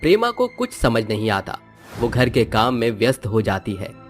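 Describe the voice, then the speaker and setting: Lively low male narrator. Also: normal speed, faint music behind. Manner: lively, questioning storytelling. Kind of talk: scripted cartoon narration.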